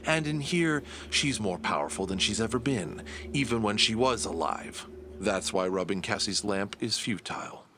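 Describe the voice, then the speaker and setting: A man acting in an audio drama as a cunning, proud villain who talks formally and condescendingly, in a measured, menacing way. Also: fairly low pitch, animated, noisy background, natural voice. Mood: measured, superior. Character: cunning, proud villain, reluctant ally to his nemesis.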